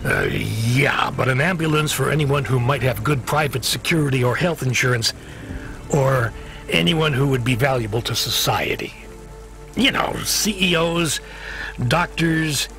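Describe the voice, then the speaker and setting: A man's voice: medium pitch, a little slow, a bit bright, soft background music. An actor playing a weary, avuncular dispatcher in a video game, hesitant and folksy as he hedges awkwardly. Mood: evasive, businesslike.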